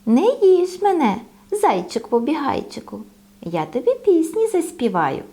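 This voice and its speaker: A cheeky little male bread roll from a children's storybook, acted out by a woman. The voice is high and soft-edged, childlike and boastful. It chants in a slow, drawn-out sing-song. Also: huge pitch swings, heavily acted. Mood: pleading, coaxing.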